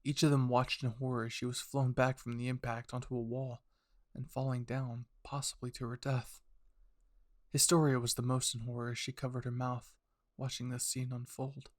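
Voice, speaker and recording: A man reads dramatic fiction with an intense delivery. His voice sits at a middling pitch, recorded close and dry with hardly any room sound. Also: level tone, normal speed, dry recording. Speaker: fan fiction narrator. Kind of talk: dramatic scripted story narration.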